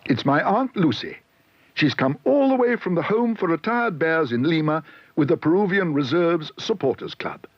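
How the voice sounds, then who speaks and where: A man's voice: on the high side, lively intonation, normal speed, no room sound, highs cut off, voice pushed up. A man doing a polite, earnest young bear.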